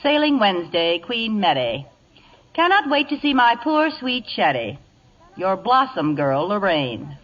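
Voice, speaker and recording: An actress playing a sharp-witted, long-suffering secretary with a dry, sardonic manner in radio comedy style. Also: female voice, mid-range voice, big pitch swings, unhurried. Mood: mock gushing. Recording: dull old radio broadcast recording.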